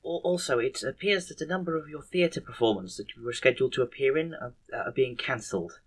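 A man voicing a timid, unsure nurse who reports in a halting stammer in a comic story. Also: medium pitch, expressive, slightly rough. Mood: nervous.

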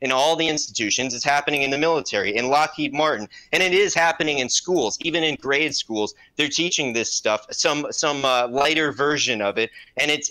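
A man's voice: medium pitch, pushed and bright, rough recording. A man calling into an online debate, arguing insistently with many restarts and repeated words. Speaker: debate caller.

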